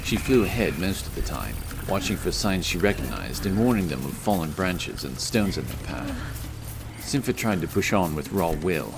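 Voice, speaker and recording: A man reading scripted narration for a fantasy story as its narrator. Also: low voice.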